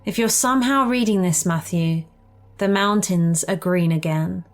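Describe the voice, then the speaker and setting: A woman's voice: fairly low pitch, unhurried. A woman reading a personal ghost story aloud as a scripted first-person narration. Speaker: narrator reading listener-submitted true ghost stories. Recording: music playing under the voice. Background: background music.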